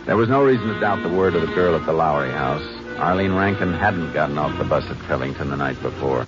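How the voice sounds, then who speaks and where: A deep-voiced steady Texas Ranger. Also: male voice, fast. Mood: narrating. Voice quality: calm, clipped, matter-of-fact. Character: steady, no-nonsense male Texas Ranger investigator. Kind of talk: 1950s radio drama acting. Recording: dull old radio broadcast with music.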